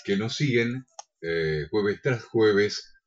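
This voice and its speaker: Low male voice, argentine radio co-host, swoopy intonation, polite announcer style, bit muffled. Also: expressive, very slow. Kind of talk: live radio show chat and announcements. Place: radio studio.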